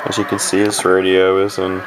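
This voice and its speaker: A man giving a casual, unscripted equipment walkthrough in a halting, explanatory way. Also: low voice, completely flat delivery. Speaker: radio hobbyist demonstrating equipment. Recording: heavy radio static and background noise.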